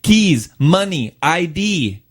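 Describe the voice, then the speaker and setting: A man's voice: on the high side, big pitch swings, slow pace, over music. A man co-hosting a radio show, chatting casually as he explains stories and poses rhetorical questions. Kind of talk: casual radio chat, presenting lifestyle stories.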